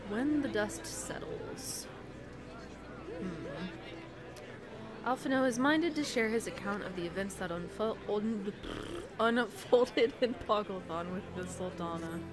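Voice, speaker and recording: A woman narrates a video game playthrough with game music clearly behind her. Her voice sits at an average height for a woman, turning theatrical for the dialogue she reads and relaxing into playful, casual asides. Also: mid-range voice, lively intonation, slow. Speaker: streamer playing through a game and reading unvoiced dialogue aloud. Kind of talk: let's-play commentary and dialogue read-aloud.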